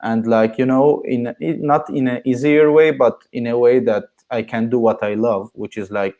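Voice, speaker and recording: A young man from Syria talks as a podcast guest, mid-pitched and earnest. He answers at length, leaning on filler words as he explains himself. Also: steady tone, normal speed, mellow. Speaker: guest, marketing agency founder. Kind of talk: interview answers.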